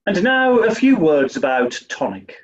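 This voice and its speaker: A man performs a vintage radio serial announcer, high in pitch and very animated. He narrates theatrically and suspensefully, a little away from the mic with a dull sound. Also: a little slow. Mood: formal. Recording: dull, distant microphone.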